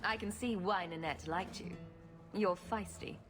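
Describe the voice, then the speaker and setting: A woman's voice: mid-range voice, measured pace, lightly acted. A woman voicing a witty, sarcastic young rogue adventurer in a video game, wry and confident. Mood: wry, curious. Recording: music behind the voice.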